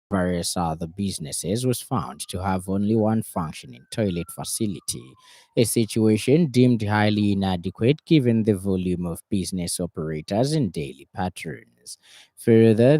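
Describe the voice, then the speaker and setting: Zambian guy reading the radio news, formal, lowish mellow voice, dry studio sound. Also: no echo. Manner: formal announcing. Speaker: news reader. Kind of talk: scripted radio news bulletin.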